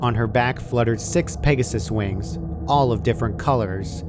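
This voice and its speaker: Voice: mid-range voice, moderate pace, over music. A narrator reading a scripted horror fan fiction story aloud.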